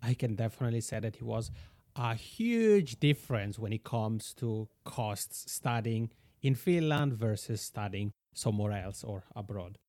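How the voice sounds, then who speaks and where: A man's voice: lowish voice, expressive, unhurried, mellow, dry recording. A man from Switzerland hosting an interview podcast, asking friendly, long questions. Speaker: podcast host.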